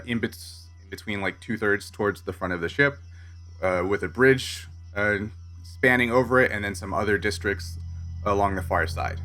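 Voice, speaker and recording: Bright-voiced man running a tabletop game. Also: on the low side. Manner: halting, self-correcting delivery. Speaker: game master. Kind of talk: narrating a tabletop sci-fi game.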